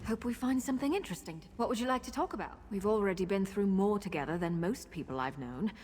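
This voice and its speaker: Woman as young game companion, fast speech, chatty, friendly, eager, echoing hall reverb. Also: medium pitch, lively intonation, darkish tone, a little hiss or hum, close to an everyday voice. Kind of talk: fantasy video game voice acting. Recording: long reverb, faint game ambience.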